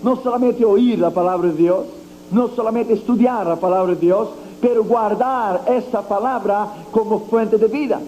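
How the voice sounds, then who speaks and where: A high-pitched man preaching with a very dark, mellow tone, speaking with a light tinge. He declaims emphatically to his congregation, and a faint hiss from an old recording sits beneath him. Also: normal speed, lo-fi sound. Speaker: evangelist preacher. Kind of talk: sermon.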